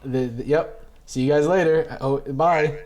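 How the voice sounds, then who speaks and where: A man's voice: on the high side, fairly even intonation, unhurried, a crowd in the background. A young man hosting a casual podcast chat, laid-back and laughing often. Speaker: podcast host. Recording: noisy mic hiss.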